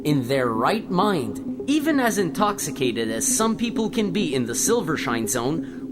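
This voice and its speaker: A narrator reading dramatic, suspenseful scripted narration for a sci-fi radio play, posing rhetorical questions. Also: mid-range voice.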